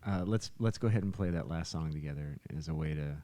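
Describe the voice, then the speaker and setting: A man with a deep voice talks on a podcast. His delivery is informal and conversational. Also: fast, warm tone. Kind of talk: casual chat.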